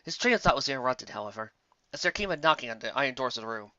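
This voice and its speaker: A man's voice: mid-range voice, animated, very fast, sharp tone, muffled recording, a little hiss or hum. A man reading a fantasy story aloud as a dramatic, theatrical and emphatic narrator. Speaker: narrator of fan fiction audiobook.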